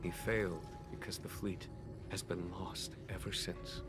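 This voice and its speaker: An actor voicing a grave, learned general in a game, recounting ancient history in measured, formal speech. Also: male voice, low-pitched, steady tone. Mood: solemn. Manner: measured, formal, weighty storytelling. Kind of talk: solemn scripted game dialogue. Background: game music.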